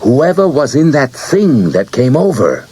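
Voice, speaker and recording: A man's voice: mid-range voice, sing-song, measured pace, breathy edge, voice pushed up. A man voicing an earnest, frightened twelve-year-old boy in an audiobook, intent and pleading. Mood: urgent, fearful. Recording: loud music under the voice.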